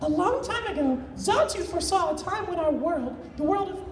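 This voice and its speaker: A woman's voice: very high voice, animated, normal speed. A woman voicing a small, irritable, menacing female cartoon creature in a live fan fiction reading. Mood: narrating solemnly. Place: convention panel room. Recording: echoey panel room, distant, noisy.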